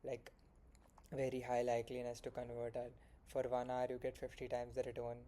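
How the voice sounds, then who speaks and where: A man's voice: mid-range voice, completely flat delivery, normal speed, dark tone, a little hiss or hum. A young man with an Indian accent talking through his day in a frank, rambling late-night vlog, full of fillers and self-corrections. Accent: Indian English. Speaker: solo founder vlogging his progress.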